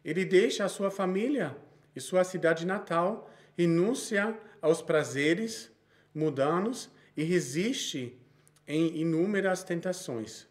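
A man's voice: high-pitched, takes it slowly. A man in his thirties with a Brazilian Portuguese accent, preaching a sermon he reads from notes, with frequent small restarts.

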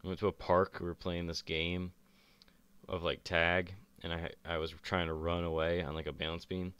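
A young man with a very deep voice, chatting casually and rambling while streaming a game. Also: normal speed, clean tone, no echo. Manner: relaxed, rambling, laid-back. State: sore chest and ankle. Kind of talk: casual gaming chat. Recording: streamed voice chat, faint music behind.

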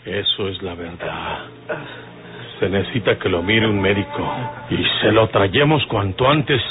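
An actor in an old radio drama playing a resolute, plain-spoken rustic outlaw leader. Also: male voice, lowish voice, measured pace, close to an everyday voice. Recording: tinny old radio recording with music.

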